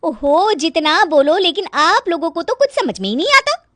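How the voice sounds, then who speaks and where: A woman voicing a bossy, fault-finding young daughter-in-law who scolds in a shrill, loud voice in a storybook cartoon. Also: very animated, normal speed, no room sound, full character voice. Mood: nagging.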